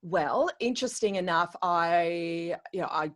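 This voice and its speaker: A woman's voice: fairly low pitch, measured pace. Chatty middle-aged Australian-raised woman. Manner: chatty, animated storyteller with frequent restarts and fillers. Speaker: guest, coach and educator. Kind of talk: podcast interview.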